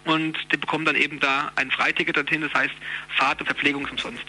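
Guy explaining stuff in an interview, talks really fast, very bright forceful voice, kinda colloquial. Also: fairly high pitch, level tone, faint music behind, poor audio. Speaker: trade union youth representative being interviewed. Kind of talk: informal spoken interview.

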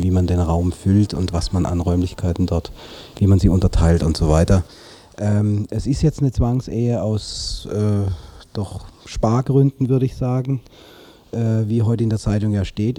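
A man giving spontaneous interview answers as a spokesman, deliberate and often pausing mid-sentence. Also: deep voice, mellow, dry recording. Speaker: arts association spokesman, interview guest.

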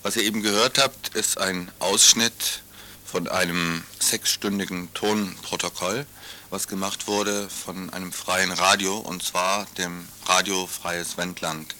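Sex: man. Role: radio presenter. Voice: low and very bright. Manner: loose, conversational. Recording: faint hiss behind. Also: steady tone, a little slow. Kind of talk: explanatory radio narration.

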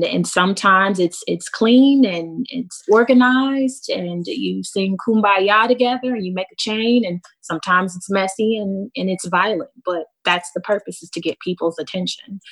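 A woman with an American accent speaking as a panelist, explaining things in a measured way with emphasis on key words. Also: lowish voice, rough recording.

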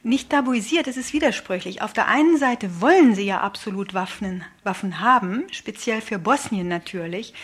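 A woman's voice: medium pitch, a bit bright. A woman interview guest speaking earnestly and argumentatively in a radio studio, explaining political views and posing rhetorical questions. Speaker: interview guest, aid convoy volunteer.

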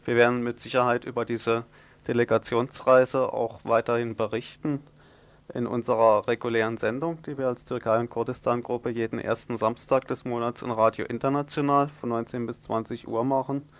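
Male radio host, medium pitch, presenting a news magazine and interviewing. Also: flat delivery, moderate pace.

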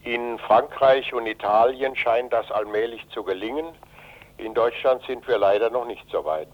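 A man's voice: mid-range voice, normal speed. Elderly man on dull lo-fi radio. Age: 80s. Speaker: interview guest, retired journalist and anti-fascist activist. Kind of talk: radio interview, political account.

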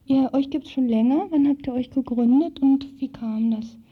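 A woman's voice: high voice, normal speed, husky. A woman working as a radio interviewer, talking informally with her guest. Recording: thin, phone-like old radio recording.

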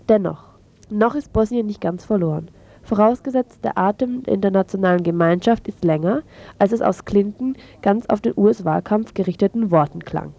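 Creaky-voiced woman reading radio news. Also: medium pitch, dark tone, muffled recording. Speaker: radio presenter. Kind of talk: scripted press review read on radio.

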